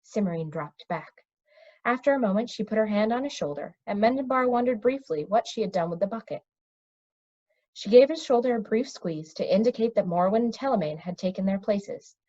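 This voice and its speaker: A woman narrates a children's fantasy story out loud with expression. Her voice sits at a middle pitch. The recording is dull and low in fidelity. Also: expressive, a little fast. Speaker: storybook reader.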